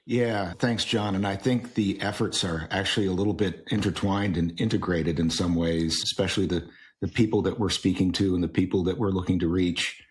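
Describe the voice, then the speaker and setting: A middle-aged man hosting an interview podcast, friendly and a little halting. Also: low voice, steady tone. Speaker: podcast host.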